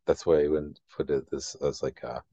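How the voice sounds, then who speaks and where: Deep-voiced man, dull dry recording. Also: level tone, mellow, dry recording. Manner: halting, rephrases as he thinks aloud. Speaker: podcast co-host. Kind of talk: casual podcast discussion. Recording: dull lo-fi close dry audio.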